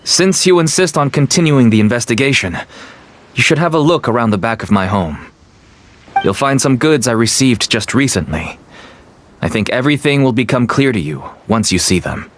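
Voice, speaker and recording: A man's voice: medium pitch, lively intonation, moderate pace, slight background noise, close to an everyday voice. An actor playing a desperate, weary merchant father who pleads for help, in a fan dub of a game. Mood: resigned. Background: background music.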